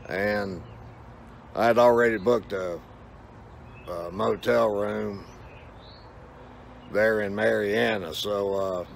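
Older man with a gravelly creak. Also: on the low side. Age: older, about 60. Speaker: vlogger. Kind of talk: casual rambling vlog talk to camera. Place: outdoors, in a yard or by water. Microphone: phone at arm's length. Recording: outdoor ambient noise and hum.

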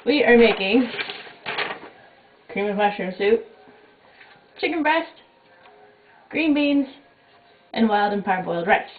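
A woman's voice: mid-range voice, expressive, slow, pauses often. A young woman hosting a homemade cooking show in her small kitchen, talking in a wry, self-mocking, offhand way. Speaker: home cooking show host. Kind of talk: casual jokey cooking demo. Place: small home kitchen at night. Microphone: fixed camera mic across the kitchen. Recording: echoey, tinny, noisy home camcorder audio.

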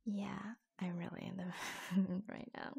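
Flirtatious secret office lover, played by a woman: teasing, intimate ASMR roleplay, husky half-whispers with vocal fry. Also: medium pitch, flat delivery, mellow, full character voice. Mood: sultry, hushed. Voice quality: breathy, husky, often dropping to a whisper. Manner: slow, coy, teasing, giggly. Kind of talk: ASMR roleplay, intimate romantic audio drama.

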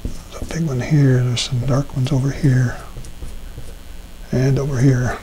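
An older man demonstrating a watercolor painting as an instructor, talking himself through each step with frequent ums and pauses. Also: medium pitch, slight background noise, low-quality recording. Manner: relaxed, thinking aloud with many ums. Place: art studio at a painting table.

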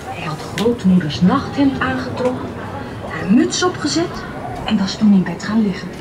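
A woman telling scripted fairy tales in an expressive, drawn-out way, heard as recorded narration played in a park. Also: fairly high pitch, crowd noise, noisy background. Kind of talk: scripted fairy tale storytelling, partly sung. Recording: distant park loudspeaker playback, loud outdoor ambience. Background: outdoor forest park ambience.

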